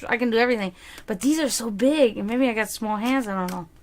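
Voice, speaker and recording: A woman's voice: on the high side, fast. A woman whose first language is German, chatting casually on a livestream as a psychic card reader, rambling and answering her viewers. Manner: chatty, rambling, reads chat aloud.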